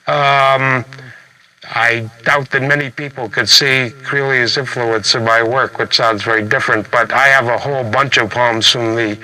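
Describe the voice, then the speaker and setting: A man talking reflectively as a poet being interviewed, halting and thoughtful, with phrases that trail and resume. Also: mid-range voice, fairly even intonation, sharp tone, poor audio.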